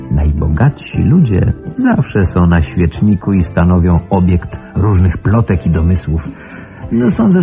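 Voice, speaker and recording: An actor playing a genial, gossipy small-town gentleman in a radio play, chatty and expansive. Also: low-pitched, vocal fry, exaggerated voice. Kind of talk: Polish radio play acting. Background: music.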